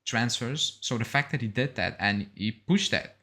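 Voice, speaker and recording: A man's voice: medium pitch, lively intonation, normal speed, pushed and bright. A young man casually chatting as co-host of a football fan live show, reading out and reacting to viewer comments.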